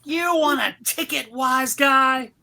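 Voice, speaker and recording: A woman's voice: high-pitched, slow pace, gravelly, bright, forceful tone, voice pushed up, full character voice. A woman voicing a blustering, exasperated traffic cop who barks and scolds, in a live cartoon script reading. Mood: angry, bossy. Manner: barking, scolding.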